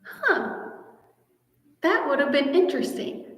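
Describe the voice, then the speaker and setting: Female fan-channel host, high voice, gushing and chatty, echoey reverberant room. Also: big pitch swings, takes it slowly. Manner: gushing, enthusiastic fan talk. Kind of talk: casual live Q&A chat.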